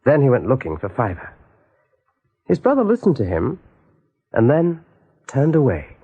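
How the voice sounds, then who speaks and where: An actor as the storyteller of a radio play, narrating in measured, dramatic phrases. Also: male voice, medium pitch, huge pitch swings, slightly rough, narrow, tinny audio. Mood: lively. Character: measured, suspenseful male storyteller.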